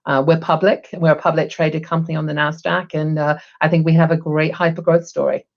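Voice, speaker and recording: Low-voiced woman, chatty. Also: little pitch movement, a little fast, creaky, muffled recording. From England. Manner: chatty, upbeat, frequent ums. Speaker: guest, HR executive. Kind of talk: casual interview talk. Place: home room, video call.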